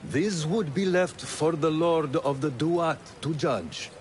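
An actor playing a wise older assassin elder in a video game, telling things in a deliberate, solemn manner. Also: male voice, on the high side, a little mellow, a little hiss or hum. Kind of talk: dramatic video game acting.

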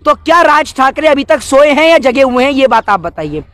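A man's voice: extremely high pitch, very animated, a little fast, a bit bright, dry recording. A man, arguing indignantly in rhetorical questions during an outdoor street interview. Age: 20s. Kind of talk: impassioned street interview on politics. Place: outdoors by a wall. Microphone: cluster of handheld news microphones held close.

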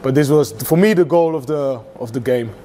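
Halting mellow-voiced male football pundit. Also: medium pitch, slight background noise. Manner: halting, many fillers and restarts. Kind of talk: studio match analysis. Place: TV studio.

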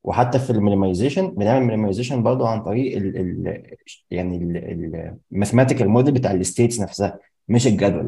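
A young man with an Egyptian accent, a student politely explaining a point on a video call, pausing on fillers. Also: on the low side, mellow. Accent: Egyptian Arabic. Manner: polite, hesitant with repeated fillers. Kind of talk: casual class discussion.

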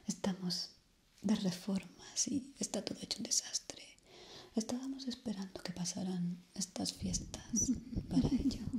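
A young woman from playing a polite, eerie hotel receptionist in an ASMR roleplay, formal and hushed. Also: mid-range voice, vocal fry. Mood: courteous, faintly eerie. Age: young adult. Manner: formal, slow, hushed and courteous.

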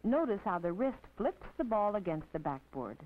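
An American woman narrating an instructional film as a teacher, in a precise, didactic, scripted manner with measured phrasing. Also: mid-range voice, very animated. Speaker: narrator and physical education instructor. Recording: tinny, band-limited 1950s film soundtrack.

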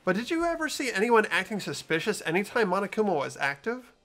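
A woman's voice: mid-range voice, sing-song, fast talker, a bit bright. A woman voicing an earnest, hesitant ordinary teenage boy in a visual novel dub. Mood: doubtful, earnest.

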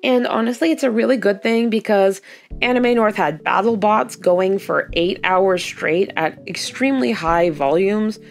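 A young Canadian woman with a hoarse, gravelly voice, chatting casually in a vlog voice-over about her weekend. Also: mid-range voice, measured pace, soft background music. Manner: chatty, upbeat recounting. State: hoarse, lost voice. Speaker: doll artist vlogger.

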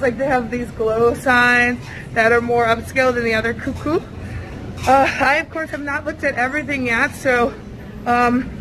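Chatty high-voiced woman vlogging in a noisy buffet. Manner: chatty, rambling, many fillers. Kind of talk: casual vlog walkthrough. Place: busy indoor buffet restaurant. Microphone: handheld camera at arm's length. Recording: noisy restaurant ambience.

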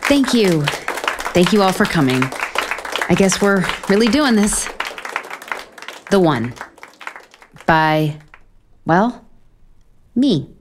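An actress playing a flustered, self-deprecating author in a romantic comedy audio drama, with an American accent and halting, deadpan asides. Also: female voice, medium pitch, a faint crowd, a little hiss or hum. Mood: halting reading aloud. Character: flustered, sardonic, self-deprecating woman author on book tour.

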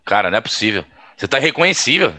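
An actor playing a teasing, foul-mouthed young buddy in an audio drama, raspy and mocking with slangy speech. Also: male voice, lowish voice, big pitch swings, racing, bright, forceful tone, heavily acted. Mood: incredulous.

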